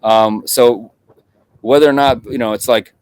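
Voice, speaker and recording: A middle-aged man from Russia, a guest in an interview, talking in a halting way with many restarts and repeated words. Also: mid-range voice, steady tone, a little mellow, clean tone, dry recording. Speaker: guest journalist. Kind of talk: conversational interview.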